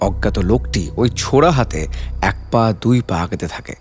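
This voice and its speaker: A man's voice: lowish voice, expressive, a little fast, music in the background. A man narrating a scripted detective story in a dramatic, suspenseful storytelling style.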